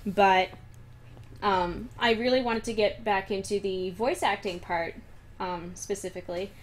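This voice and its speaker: A woman's voice: mid-range voice, fairly even intonation, measured pace. A young woman, a guest voice actress and singer, chatting casually in an interview, giggling and rambling with lots of fillers.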